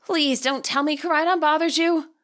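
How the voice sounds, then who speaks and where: A woman's voice: high voice, fairly even intonation, normal speed, a bit bright, voice pushed up. A woman voicing a troubled teenage girl trainer in an audiobook, guarded and weary, holding back tears. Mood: firm, curt.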